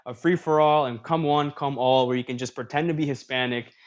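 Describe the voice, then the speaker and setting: A man's voice: mid-range voice, fairly even intonation, brisk, muffled recording. A man hosting a livestream in a casual, talkative way, reading social media posts aloud and commenting on them. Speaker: streamer host.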